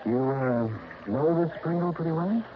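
An actor playing a dry, wisecracking insurance investigator who narrates and questions people in an old radio drama. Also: male voice, on the high side, normal speed, noisy background, close to an everyday voice. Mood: probing. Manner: dry, wry, matter-of-fact. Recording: dull old radio recording with music.

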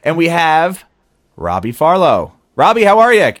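A man hosts a podcast in a lively voice that swings up and down, mid-pitched and close to the mic. He teases his guest with a joke and then follows up with a question. The sound is dry, with no room around it. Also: a little slow, dry recording. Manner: joking, teasing interviewer. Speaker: podcast host. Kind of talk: casual podcast chat.

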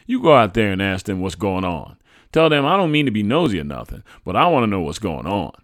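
A man voicing a folksy, blunt mother with an excitable, bossy temper, in a narrated story. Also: on the low side, fairly quick, no room sound, studio quality. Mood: sly, bossy. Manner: folksy, nonstandard grammar, blunt. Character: loud, frantic, devilishly nosy mother.

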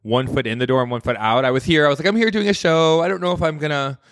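A man's voice: fairly high pitch, fast talker, fairly bright tone, dry recording, studio quality. A man from the Midwest hosting a podcast interview, upbeat and chatty, laughing often. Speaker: podcast host. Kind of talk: casual interview chat.

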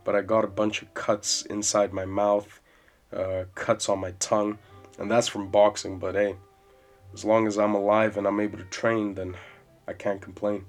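A man in his twenties hosting a solo podcast, rambling casually and swearing freely in a loud voice with bass. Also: level tone, moderate pace. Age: 20s. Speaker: podcast host. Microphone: podcast mic. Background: soft jazz music.

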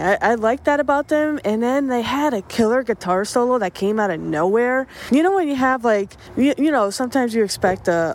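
Chatty woman hosting a music podcast. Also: fairly high pitch. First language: not English. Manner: chatty, enthusiastic, many fillers and restarts. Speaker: podcast host. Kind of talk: casual music podcast chat.

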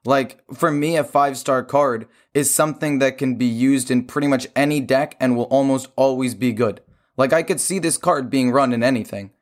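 A man with a sore, hoarse throat hosting a gaming show, explaining his opinions in casual conversation. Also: mid-range voice, moderate pace. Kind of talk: casual chat about a card game.

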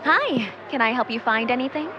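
High-voiced, chirpy store clerk. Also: female voice, expressive, a little fast, bright, forceful tone. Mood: helpful. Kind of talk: audio drama acting. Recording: muffled, distant, with clear ambient noise.

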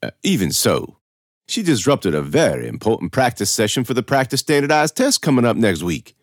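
A man voicing a domineering, irritable school official in an audiobook story, pompous and halting. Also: medium pitch, very animated, no echo, clean studio sound, pitched above the performer's own voice. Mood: indignant. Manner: pompous, barking, halting with uhs and grunts.

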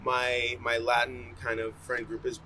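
A man's voice: mid-range voice, monotone, measured pace, a crowd in the background. A man talking casually as an interview guest, informal and rambling, full of slang. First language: bilingual English and fluent Spanish. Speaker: coffee roaster, interview guest. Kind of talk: casual conversational interview answers.

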